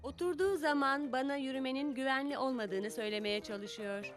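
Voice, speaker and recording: A woman playing a kind, patient grown-up in a preschool cartoon dub, explaining things simply and gently to a child. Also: high voice, a little fast, lightly acted. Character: kind, patient blind woman with guide dog. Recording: music under the dialogue.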